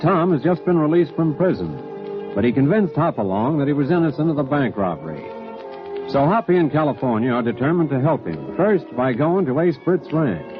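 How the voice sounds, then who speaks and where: An actor as a brisk announcer narrating an old-time Western radio serial. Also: male voice, on the high side, sing-song. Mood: dramatic. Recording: tinny old radio recording. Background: music.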